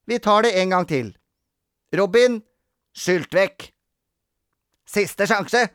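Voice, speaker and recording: A man's voice: high-pitched, animated, clear, resonant tone, no echo, studio quality, pitched above the performer's own voice. A man voicing a grumpy, bossy young girl who plays strict teacher in a children's story, snappish and commanding. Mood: stern.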